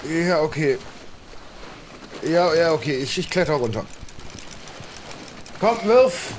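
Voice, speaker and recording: A man's voice: on the high side, big pitch swings, a little hiss or hum. A man playing a hesitant, good-natured helper in an improvised pirate audio drama, agreeable and a bit grumbly. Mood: effortful. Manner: slow, agreeable, grumbles under effort.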